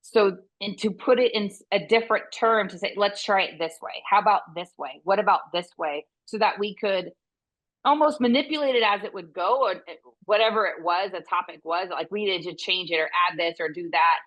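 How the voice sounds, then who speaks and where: Intense, bright-voiced woman consultant. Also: mid-range voice, brisk, poor audio. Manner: loud, intense, passionate delivery. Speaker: veterinary business consultant. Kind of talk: conversational interview guest.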